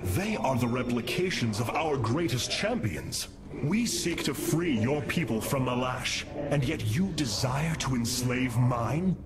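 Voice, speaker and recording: An actor playing a noble, firm alien commander in a video game, speaking in a stately, commanding way. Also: male voice, mid-range voice, expressive, measured pace, natural voice. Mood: indignant. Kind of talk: video game cinematic acting. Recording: very loud game music behind.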